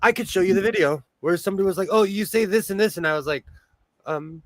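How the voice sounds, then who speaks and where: A man's voice: high voice, fast talker, a bit bright, no room sound. A middle-aged American man hosting a casual sewing stream, chatty and self-deprecating, laughing often. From United States. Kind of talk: casual chat. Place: home, live stream.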